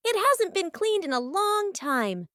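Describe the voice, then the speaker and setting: A woman's voice: very high voice, big pitch swings, a little slow, fairly bright tone, dry recording, clean studio sound. A woman narrating a children's cartoon from a script, in short, simple storybook sentences.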